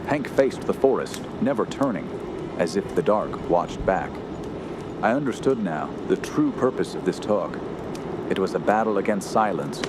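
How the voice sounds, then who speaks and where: A man's voice: sits low, big pitch swings, moderate pace, noisy background. An actor playing a haunted young man who narrates a horror radio drama in solemn, clipped phrases. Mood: dread. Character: haunted young nephew recounting wilderness terror. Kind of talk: scripted first-person horror radio narration. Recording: loud dramatic music behind.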